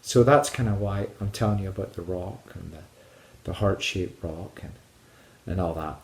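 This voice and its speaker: A man from Scotland who vlogs about hiking, telling personal stories casually to his viewers in a rambling, halting way that often repeats phrases. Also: low-pitched, a little mellow. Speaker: hiking vlogger. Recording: echoey room.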